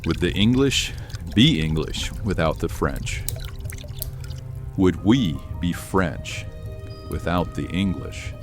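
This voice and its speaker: A man voicing a weary, brooding French warrior of the Middle Ages in an audio story, speaking in a deliberate, reflective way. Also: deep voice, animated, music in the background. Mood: philosophical, reflective.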